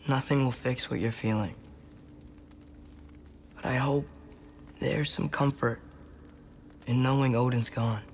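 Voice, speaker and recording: A young actor playing an earnest, gentle youth in a game, speaking softly and haltingly. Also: male voice, mid-range voice, fairly even intonation, gravelly, slight background noise. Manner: soft, halting.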